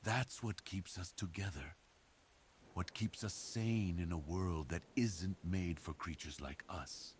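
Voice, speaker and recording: A man playing a devoted, protective werewolf boyfriend in an intimate ASMR roleplay, speaking earnestly and deliberately to reassure his partner. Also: low-pitched, slight background noise. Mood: serious, explaining.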